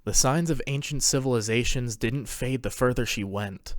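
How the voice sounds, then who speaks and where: A man's voice: mid-range voice, normal speed, dry recording, clean studio sound. A man reading scripted story narration as the narrator of a fan fiction tale.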